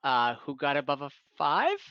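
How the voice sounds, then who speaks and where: Man, game master, higher-pitched, easygoing halting explanations, dry close mic, slightly dull call audio. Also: wildly swooping pitch, clear, resonant tone, dry recording. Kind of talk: running an online tabletop game, casual.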